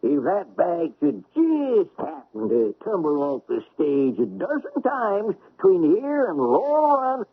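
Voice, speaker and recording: Creaky, high-voiced stagecoach driver. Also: male voice, huge pitch swings, takes it slowly, hardly any pauses, full character voice. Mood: eager, devoted. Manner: flustered, rustic, deferential. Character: eager, bashful, folksy male stagecoach driver. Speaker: actor in a radio drama. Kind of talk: old-time radio drama acting. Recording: muffled old radio drama recording.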